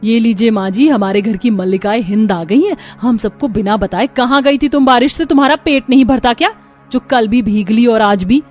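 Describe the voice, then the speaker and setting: A woman's voice: on the high side, normal speed, darkish tone, faint music behind, close to an everyday voice. A woman voicing a bossy, spiteful elder sister-in-law in a cartoon story, haughty and scolding. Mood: sarcastic, scolding. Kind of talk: Hindi cartoon story dialogue.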